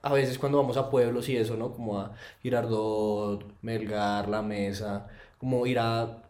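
A man's voice: fairly low pitch, steady tone, measured pace, darkish tone. A man hosting a casual podcast chat, joking and asking his friends questions. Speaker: podcast host. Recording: echoey room.

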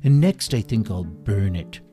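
A man voicing a gleefully malicious, vengeful hairy ape villain in a children's story, with a theatrical, drawn-out delivery. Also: fairly low pitch, lively intonation, over music. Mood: menacing, vengeful.

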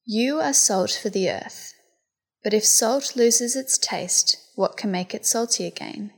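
Woman reading scripture aloud. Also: fairly high pitch. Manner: steady, deliberate reading. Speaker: scripture reader. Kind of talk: liturgical reading.

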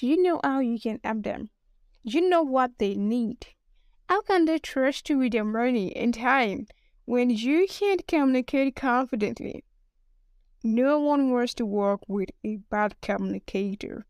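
High-voiced Nigerian woman coaching in English. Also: a little mellow, smooth, no echo, studio quality. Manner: emphatic, addresses the listener directly. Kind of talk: podcast coaching talk.

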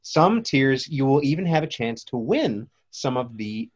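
A man's voice: medium pitch, sing-song, a little slow, slightly rough, dull audio. Upbeat man hosting a podcast. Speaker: podcast co-host. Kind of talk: casual chat.

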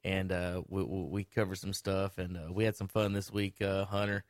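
A man's voice: sits low, little pitch movement, moderate pace, no echo. A man hosting a sports talk radio show in a studio, chatting loosely with lots of fillers and teasing his co-hosts. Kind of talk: casual studio banter and sponsor reads. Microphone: studio microphone with headphones.

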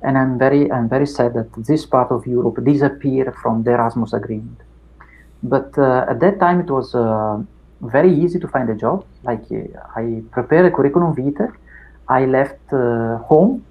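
Italian guy, middle-aged, gravelly voice, dull lo-fi sound, lots of uhs. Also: mid-range voice. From Italy. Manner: unhurried, many fillers and restarts. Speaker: academic guest. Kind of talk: reflective interview chat.

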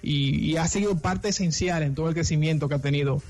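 A man's voice: on the high side, fairly quick, a little mellow, soft background music. A Dominican man co-hosting a radio interview, chatty and introducing the guests at length with many restarts. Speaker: co-host. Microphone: remote call.

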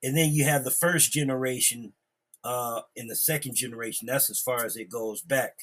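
A man's voice: medium pitch, fairly even intonation, moderate pace, fairly bright tone. A man talking bluntly and profanely in a casual, heated group discussion. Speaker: podcast discussion participant.

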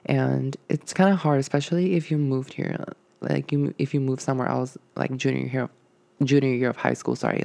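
Young man with a gravelly voice. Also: medium pitch, darkish tone, no room sound. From Puerto Rico. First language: Spanish. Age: young adult. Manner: rambling, hesitant, many ums and likes. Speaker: podcast host. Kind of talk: casual solo podcast monologue.

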